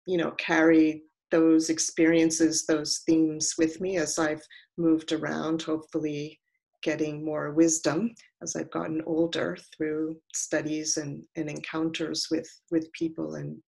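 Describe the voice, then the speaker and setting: An older woman giving reflective, prepared remarks as a panelist in a measured, deliberate manner. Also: deep voice, gravelly, low-quality recording. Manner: measured, deliberate, long considered sentences. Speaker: panelist, scholar and writer.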